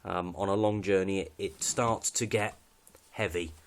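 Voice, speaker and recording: A man's voice: low-pitched, fairly even intonation, unhurried, slight background noise. A British man giving a casual, unscripted walkthrough of his kit in a matter-of-fact, slightly hesitant way. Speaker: hobbyist presenter showing radio kit.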